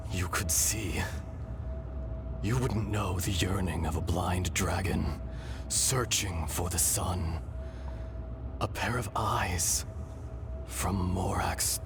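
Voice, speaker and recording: A man voicing an ancient, weary male dragon god who speaks slowly, solemnly, and half in whispers, in a video game. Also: sits low, exaggerated voice. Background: game music.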